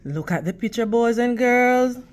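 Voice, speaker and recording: A woman reading a picture book aloud to children in a teacherly manner, with deliberate, emphatic phrasing and questions for her young listeners. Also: on the high side, lively intonation. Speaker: read-aloud storytime host for children.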